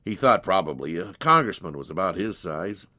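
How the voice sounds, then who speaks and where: Animated man telling a folksy story. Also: low-pitched, moderate pace, no room sound, studio quality. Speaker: narrator. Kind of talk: dramatized storybook narration.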